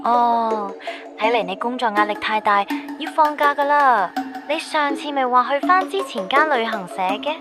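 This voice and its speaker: A woman's voice: fairly high pitch, animated, moderate pace, over music, close to an everyday voice. A woman with a Hong Kong Cantonese accent playing a breezy, sceptical younger cousin in casual story dialogue. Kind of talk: scripted drama dialogue.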